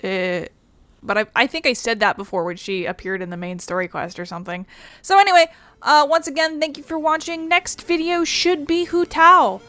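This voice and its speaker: A woman's voice: high-pitched, steady tone, normal speed, pushed and bright, no room sound. A woman giving casual, wry let's play commentary as a gaming video host, swearing freely.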